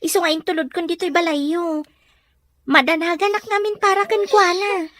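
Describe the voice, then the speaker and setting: Woman acting a very high-voiced, apologetic young friend. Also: steady tone, moderate pace, a bit bright, no room sound, studio quality. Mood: apologetic, worried. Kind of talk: Ilocano radio drama acting.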